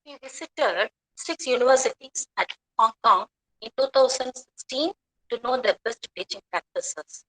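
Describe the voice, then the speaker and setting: A woman's voice: fairly high pitch, animated, moderate pace, fairly bright tone, low-quality recording. A woman reading a formal, respectful introduction of a guest speaker in a webinar. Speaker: assistant professor introducing the chief guest. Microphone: video call.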